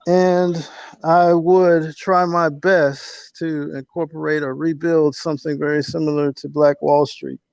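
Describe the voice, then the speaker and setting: A middle-aged man talking casually and reflectively as an interview guest, with halting phrasing, on lo-fi call audio. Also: a little high, little pitch movement, vocal fry, a little mellow, smooth, dry recording.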